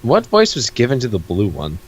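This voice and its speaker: A man's voice: mid-range voice, huge pitch swings, a little fast, husky. A man in casual, joking banter as co-host of a comic reading.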